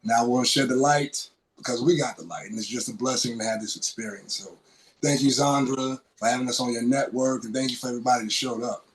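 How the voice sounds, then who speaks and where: Calm, reflective young man. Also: medium pitch, fast, a little hiss or hum, low-quality recording. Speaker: guest, nonprofit co-worker. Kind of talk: reflective panel remarks.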